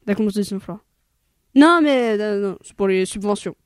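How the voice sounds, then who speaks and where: A woman voices a harried production coordinator in a homemade radio play, rattling off a schedule while padding her speech with fillers. Her voice sits at a middle pitch and sounds close and dry. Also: fairly quick, clear, resonant tone, no room sound, lightly acted. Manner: rambling, full of fillers like "euh" and "hein". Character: hurried female production coordinator briefing an actor. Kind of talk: amateur radio play acting.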